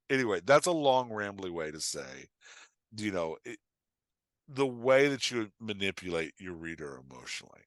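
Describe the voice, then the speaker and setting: A man's voice: sits low, fairly bright tone, dry recording, studio quality. A man talking casually as a podcast guest, explaining with examples in an emphatic, storytelling way and often checking in with his listener. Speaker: podcast guest, writing coach and author.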